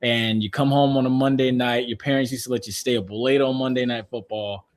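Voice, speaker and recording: A man in his twenties with a medium-pitched voice hosts a sports podcast. He talks casually and tells little stories in an animated way, acting out what people said. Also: brisk. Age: 20s. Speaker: podcast host.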